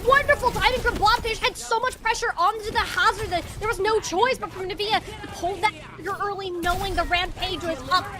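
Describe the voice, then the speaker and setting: A woman's voice: extremely high pitch, fairly even intonation, fairly quick, hardly any pauses. A woman giving live esports commentary in a playful, slangy way. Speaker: esports caster.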